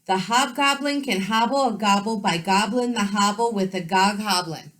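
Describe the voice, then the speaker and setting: A woman's voice: medium pitch, normal speed, fairly bright tone, voice pushed up, natural voice. A woman voicing an earnest, fair-minded girl rag doll who speaks politely but frankly in a children's storybook. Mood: skeptical. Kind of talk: children's storybook read-aloud.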